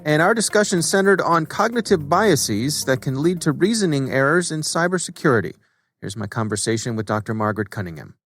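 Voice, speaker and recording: A man's voice: a little high, animated, brisk, a bit bright, faint music behind. A man hosting a podcast in a conversational style. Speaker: podcast co-host.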